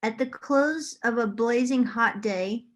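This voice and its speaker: A woman's voice: fairly high pitch, slow pace, a bit bright. A woman doing an informal, unrehearsed read-aloud. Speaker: reader.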